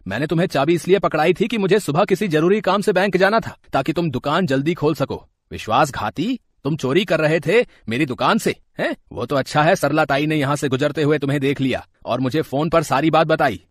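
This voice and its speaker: A man's voice: a little high, expressive, brisk, no room sound. Man playing stern, scolding shop owner. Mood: indignant. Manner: commanding, scolding. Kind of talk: animated Hindi moral story acting.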